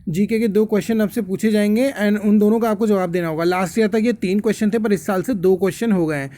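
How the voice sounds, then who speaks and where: High-pitched man explaining step by step. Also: steady tone, quick pace, dark tone. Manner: instructive, repeatedly checks with "okay?"; mixes in English words. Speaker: quiz-prep tutor, channel host. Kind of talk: casual explainer addressed to viewers.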